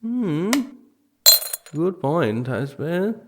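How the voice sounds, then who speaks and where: A man's voice: on the high side, sing-song, drawn-out words, noisy background, full character voice. An actor playing a mild, affable, hesitant medieval king in a comic audio drama. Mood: mild, amused.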